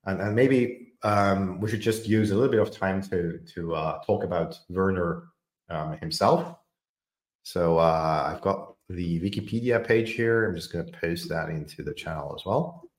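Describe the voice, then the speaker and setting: Low-voiced man in an echoey room. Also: normal speed. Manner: hesitant, many fillers and repeated words. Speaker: podcast host. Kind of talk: casual technical discussion.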